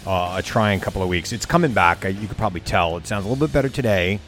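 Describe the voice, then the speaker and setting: A man's voice: deep voice, expressive, quick pace, fairly bright tone, soft background music. A man hosting his own show, talking casually and bluntly with frequent laughs while losing his voice. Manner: opinionated, rambling, laughs often. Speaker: podcast and radio host. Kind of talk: casual monologue.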